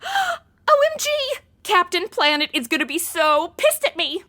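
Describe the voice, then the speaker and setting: A woman's voice: very high-pitched, very animated, unhurried, pushed and bright, heavily acted. An actress playing a brash, self-absorbed, ditzy young modern woman with sarcastic, slangy delivery in an audio comedy. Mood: indignant, shocked. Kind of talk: comedic audio drama acting.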